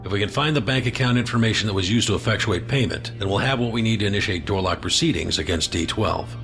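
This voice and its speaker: A man's voice: on the low side, quick pace, creaky, fairly bright tone, faint music behind. An actor playing a stern, commanding male intelligence chief in an audio drama, firm and insistent. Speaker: door chief.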